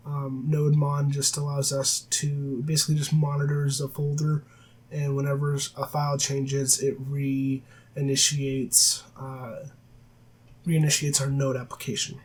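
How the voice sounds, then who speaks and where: A man with a cold-roughened raspy voice, teaching a coding tutorial over a screen recording with many filler sounds and self-corrections. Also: mid-range voice, monotone, a little slow, poor audio. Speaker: programming tutor. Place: at a desk, voice-over of screen capture. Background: faint keyboard typing.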